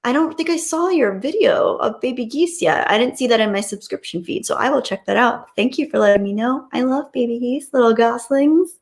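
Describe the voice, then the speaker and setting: A woman's voice: on the high side, expressive, fairly quick, creaky. A woman hosting a livestream, chatting casually and reading out viewer comments in a gushing, appreciative, playful way. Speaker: livestream host.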